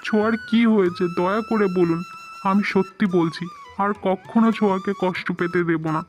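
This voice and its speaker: A man's voice: high-pitched, fairly even intonation, a little fast, lo-fi sound, faint music behind, close to an everyday voice. A man playing a devoted young husband in a melodramatic cartoon, earnest and emotional, often repeating his phrases. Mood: pleading.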